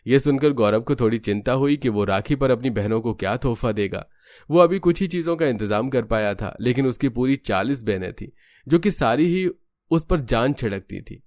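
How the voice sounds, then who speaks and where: A man's voice: medium pitch, animated, normal speed, a little mellow, no echo. A man reading scripted narration for a cartoon family story.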